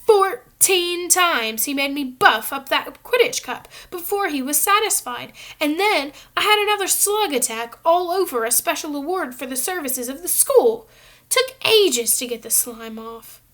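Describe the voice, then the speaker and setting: A woman's voice: high-pitched, lively intonation, unhurried, a bit bright, pitched above the performer's own voice. A woman voicing a tired teenage schoolboy who groans and complains. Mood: grumbling.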